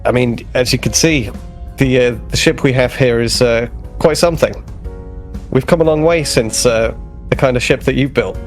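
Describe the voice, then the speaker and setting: A man's voice: medium pitch, moderate pace, faint music behind, lightly acted. A man playing a naive, cheerful young starship ensign in a tabletop game, friendly, polite and a little hesitant. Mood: friendly, eager.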